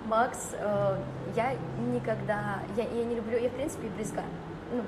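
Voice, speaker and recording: Young woman chatting over loud background noise. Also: fairly high pitch, fairly even intonation, unhurried. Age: young adult. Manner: informal, chatty, slangy. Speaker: podcast guest, blogger and brand founder. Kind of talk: casual conversational interview answers. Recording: very noisy ambient background.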